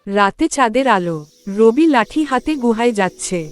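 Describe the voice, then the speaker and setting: A woman narrating a cartoon story in a plain, even storytelling manner. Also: a little high, moderate pace, soft background music.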